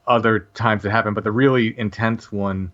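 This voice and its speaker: A man in his late forties, a podcast guest, telling a personal story casually in a halting way with many restarts and fillers. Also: on the low side, fairly even intonation, a bit bright, lo-fi sound.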